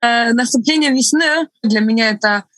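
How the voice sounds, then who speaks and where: A woman whose first language is Estonian, speaking with a mixed foreign accent as an interview guest, laughing often and pausing to find her words. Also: fairly high pitch, a bit bright, dry recording. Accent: foreign, mixed from several languages. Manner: laughs often, thoughtful with halting restarts. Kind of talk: relaxed conversational interview.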